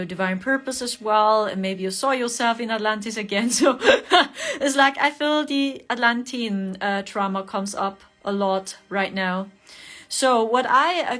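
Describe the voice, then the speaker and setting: A woman's voice: medium pitch, fairly bright tone. A woman with a German accent, speaking English as a second language, chatting casually to viewers while hosting a spiritual livestream. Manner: chatty, greets viewers, frequent laughter and fillers.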